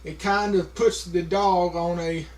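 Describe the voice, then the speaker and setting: A man's voice: high-pitched, steady tone. A man talking casually to a camera, with a heavy stutter, repeating sounds and stopping on words, with many fillers. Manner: slow, halting, many fillers. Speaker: vlogger.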